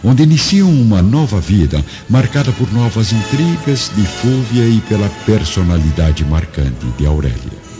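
A Brazilian man narrates a radio drama in a deep voice. His delivery is solemn and theatrical, rising and falling widely. The recording is a bit dull, with faint music underneath. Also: big pitch swings. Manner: solemn, theatrical, measured enunciation.

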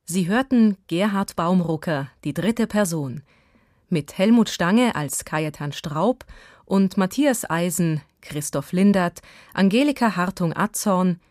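A woman announces radio drama credits from a script. Her voice is on the low side and very dry, with no room sound around it. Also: lively intonation, unhurried, a little mellow, dry recording.

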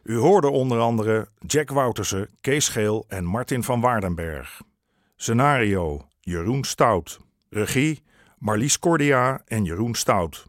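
A man reading scripted credits as an announcer, in a measured way. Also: medium pitch, lively intonation, dry recording, studio quality. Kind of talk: scripted narration.

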